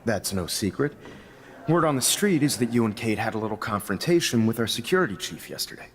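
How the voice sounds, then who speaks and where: Calm male teacher in a game, kinda low voice, gently probing. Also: fairly quick. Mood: attentive. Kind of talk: naturalistic video game acting.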